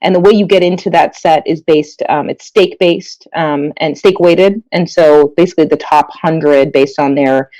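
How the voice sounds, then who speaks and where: Low-pitched American woman explaining. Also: warm tone, dry recording, low-quality recording. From United States. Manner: quick, explanatory, frequent uh fillers. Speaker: panel guest, chief operating officer. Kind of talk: online panel discussion.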